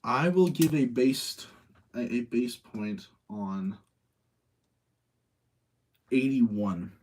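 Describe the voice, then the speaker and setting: Male livestreamer, mid-pitched and husky, opinionated and informal, thinking aloud with his audience and restating points for emphasis. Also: animated, drawn-out words, darkish tone, rough recording. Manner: opinionated, self-debating, repeats phrases for emphasis. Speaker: streamer hosting a live movie-rating chat. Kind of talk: casual chat with live audience.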